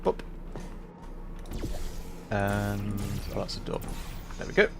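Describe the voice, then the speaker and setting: A man's voice: on the low side, very animated, slow, creaky, noisy background. A man livestreaming a game with casual, enthusiastic commentary, musing and explaining as he goes.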